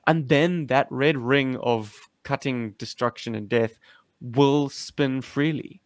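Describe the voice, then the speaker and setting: Jokey slangy guy chatting about toys, mid-pitched voice, very dry sound. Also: slow, no room sound. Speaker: podcast host and toy collector. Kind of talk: casual chat about action figures.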